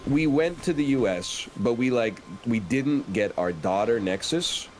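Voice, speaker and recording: Deep-voiced, deadpan man. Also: unhurried, a little hiss or hum, low-quality recording. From Canada. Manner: calm, flat, deadpan delivery. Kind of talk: casual storytelling chat.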